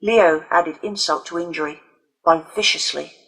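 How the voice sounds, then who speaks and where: A woman reading a children's novel aloud as its author, in dramatic, deliberate audiobook narration. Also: on the low side, fairly bright tone, husky, poor audio.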